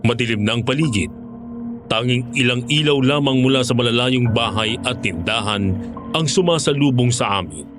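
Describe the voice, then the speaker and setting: The voice is a Filipino man's, at a middling pitch. He reads a scripted first-person horror story as its narrator. Music plays behind him. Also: lively intonation, moderate pace. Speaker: narrator of a horror audio drama.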